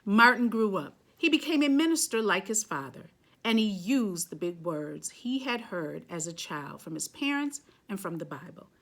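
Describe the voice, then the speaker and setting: A woman reading a children's picture book aloud, phrasing deliberately and stressing key words. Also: medium pitch, sing-song, fairly bright tone.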